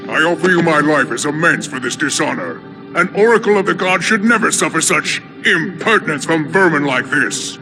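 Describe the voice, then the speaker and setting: A man's voice: on the high side, animated, normal speed, lightly acted, low-quality recording. A man voicing a zealous, melodramatic alien warrior in a video game, with fervent, grandiose, formal speech. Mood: fervent, outraged. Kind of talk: video game cutscene acting. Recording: music behind the voice.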